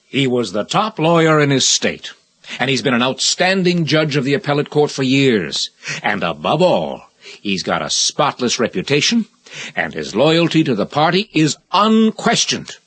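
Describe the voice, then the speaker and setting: An actor playing an eager, persuasive political aide in a radio drama, insistent and familiar in manner. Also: male voice, mid-range voice, sing-song, moderate pace, a bit bright. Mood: assured, promotional. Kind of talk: 1980 radio drama acting.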